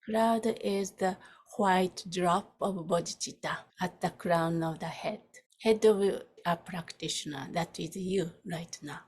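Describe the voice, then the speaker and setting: Slow, husky woman guiding meditation. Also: fairly low pitch. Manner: soft, unhurried, with long pauses between short phrases. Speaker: meditation teacher. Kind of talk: guided meditation.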